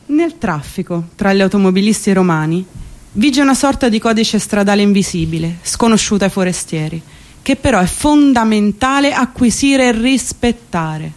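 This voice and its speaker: An actress plays a female guide in a comic radio play. She explains in a wry, mock-documentary manner. Her voice sits at an ordinary middle pitch for a woman. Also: expressive, normal speed, a little mellow, lightly acted. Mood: mock-solemn. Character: wry female guide to an urban jungle of drivers. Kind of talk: scripted comic radio theatre narration.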